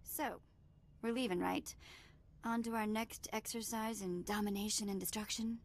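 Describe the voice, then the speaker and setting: A woman's voice: medium pitch, steady tone, normal speed, close to an everyday voice. An actress playing a sarcastic, flippant young female sidekick in a video game. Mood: teasing. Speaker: game companion character.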